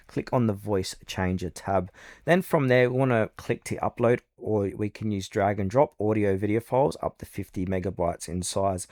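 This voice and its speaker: A man hosting a casual step-by-step software tutorial, narrating as he goes. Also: lowish voice, no room sound, studio quality. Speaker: tutorial host.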